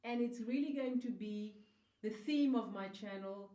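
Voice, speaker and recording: A woman's voice: mid-range voice, a little slow, mellow, echoey room. A woman hosting her own channel, introducing a guest and asking questions in an upbeat, encouraging way. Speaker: channel host. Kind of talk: interview hosting.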